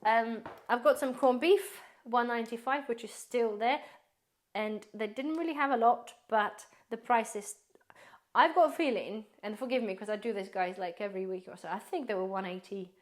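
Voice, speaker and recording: A woman with a slightly raised pitch talks viewers through her grocery haul in a loose, rambling vlog style. Her voice is a little hoarse, as if she is losing it. She speaks to viewers directly and calls them guys. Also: moderate pace. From based in the UK. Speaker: prepper vlogger.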